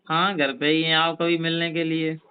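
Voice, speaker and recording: A man's voice: a little high, flat delivery, normal speed, clean tone. A man chatting casually on a phone call in an agreeable manner, keeping his replies brief. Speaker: religious storyteller on a call. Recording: recorded phone call, narrow sound.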